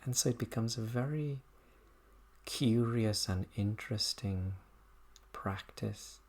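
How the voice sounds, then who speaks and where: A meditation teacher guiding a body scan practice in an unhurried, contemplative manner, speaking in short, halting phrases. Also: mid-range voice, darkish tone.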